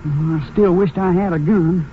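A man's voice: high-pitched, normal speed, natural voice. A young man playing a cocky, impulsive teenage car thief with rural slangy speech in a radio drama. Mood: cocky, eager. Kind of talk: 1950s western radio drama acting. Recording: muffled, hissy 1950s radio recording.

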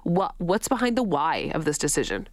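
A woman's voice: low-pitched, huge pitch swings, normal speed, no echo, clean studio sound. A woman hosting a radio show, interviewing in an informal, conversational way with plain-worded questions.

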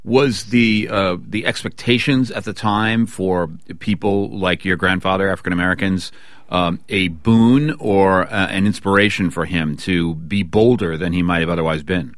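A man with a low voice works as an interview host. He speaks in a broadcast interview style, recorded close and dry with no room sound. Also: fairly even intonation, normal speed, dry recording.

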